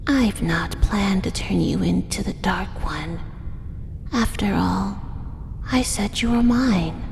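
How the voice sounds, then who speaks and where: Casting: woman to play yandere spider monster lady for ASMR audio drama, soft whispers with gravelly edges, tender and menacing, long cavernous reverb. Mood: teasing, possessive. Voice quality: soft-spoken, breathy whispering. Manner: slow, tender, menacingly intimate coaxing. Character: possessive, doting female drider spider monster, yandere. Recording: echoey cave-like reverb, faint rumbling noise.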